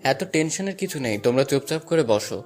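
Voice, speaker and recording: A man's voice: a little high, animated, fast talker, a little mellow, soft background music, natural voice. A man playing a bold, urgent young man on a rescue mission in an audio story. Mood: calm, firm. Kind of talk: Bengali audio story acting.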